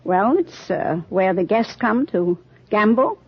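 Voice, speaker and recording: A woman's voice: mid-range voice, sing-song, slow pace, muffled recording, very noisy. A woman answering as a radio quiz contestant in an earnest, hesitant way, on an old recording. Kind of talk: unscripted answers to a host. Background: studio audience.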